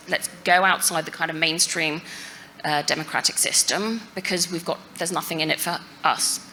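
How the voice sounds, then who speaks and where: A woman addresses a live audience on a conference panel, her delivery loose and conversational, dotted with tag questions and "you know" fillers. Her voice sits a little low but has a bright, forward edge. A faint background hiss runs under the recording. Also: vocal fry. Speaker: panel speaker at a futures forum.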